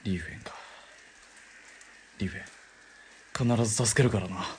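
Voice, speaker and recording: A man's voice: on the low side, a little slow, darkish tone, a little hiss or hum, natural voice. A man playing a hot-tempered army deserter who talks in a blunt, barking way. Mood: gentle. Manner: blunt, barking, rough soldier talk. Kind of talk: amateur radio drama acting.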